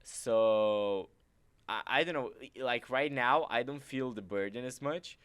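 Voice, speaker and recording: A young man from Romania, a native Romanian speaker, chatting casually as podcast host, animated and full of fillers. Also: mid-range voice, smooth, dry recording. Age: teens. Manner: animated, filler-heavy, quick laughs. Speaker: podcast host, exchange student. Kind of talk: casual chat.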